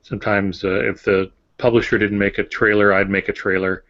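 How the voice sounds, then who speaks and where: A man's voice: lowish voice, level tone, brisk, a bit bright. An older man, a novelist, talking casually on a podcast in a plain-spoken, matter-of-fact way. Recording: dull lo-fi audio.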